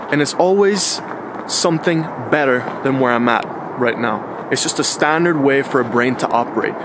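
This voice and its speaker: Chatty thirty-year-old man, traffic behind. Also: medium pitch. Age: 30s. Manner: relaxed and chatty, with slangy asides. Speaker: vlogger. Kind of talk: casual reflective monologue.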